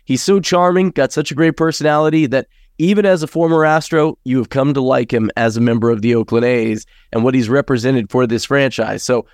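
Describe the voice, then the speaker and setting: A man hosting a casual sports podcast, slightly raspy from congestion and chatty, steering the talk with long questions. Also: mid-range voice, brisk, hardly any pauses, no room sound, studio quality. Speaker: podcast host.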